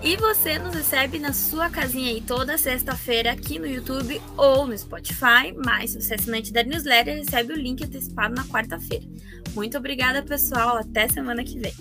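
Animated Brazilian woman hosting a podcast. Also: high-pitched, a little fast, music in the background. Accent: Brazilian Portuguese. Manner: animated, emphatic, often exclaims "gente". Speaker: podcast host. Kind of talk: casual podcast interview.

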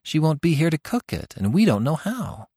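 A man voicing a patient, gentle young farm girl who speaks doubtfully. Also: on the low side, big pitch swings, normal speed, no room sound, clean studio sound.

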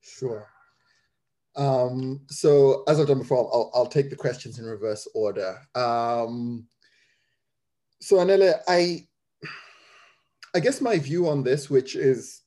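A man with a mellow, warm voice answers audience questions as an academic panelist. He speaks in a measured, considered way and keeps the answers orderly. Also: medium pitch.

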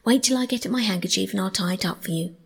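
An excitable male rat from a children's tale, played by a woman reader. He is caring yet bossy and easily exasperated, speaking emphatically in a husky, breathy voice. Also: medium pitch, quick pace. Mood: kindly, attentive. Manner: eager, emphatic, impatient. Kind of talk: children's storybook character voice.

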